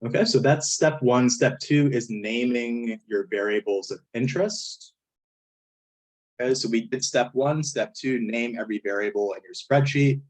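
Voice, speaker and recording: A man with a hoarse, failing voice who often clears his throat, walking step by step through a statistics software tutorial over a screen recording. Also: medium pitch, low-quality recording. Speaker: statistics instructor. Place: indoors at a computer, voice-over on screen capture.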